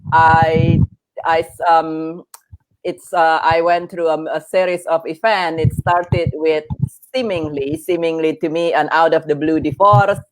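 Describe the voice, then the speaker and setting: A woman's voice: sits low, steady tone, a little slow, low-quality recording. A middle-aged woman, born in Indonesia with Indonesian as her first language, talking as a guest on a podcast in an earnest, chatty way with many fillers and restarts. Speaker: guest, veterinary oncologist and grief coach. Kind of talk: podcast interview.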